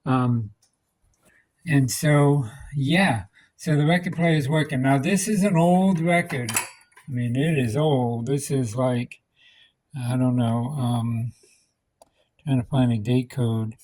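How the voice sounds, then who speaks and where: A man's voice: medium pitch, takes it slowly, pauses often, darkish tone. A man giving a casual, unscripted electronics repair demonstration, plain-spoken and practical, thinking aloud as he works.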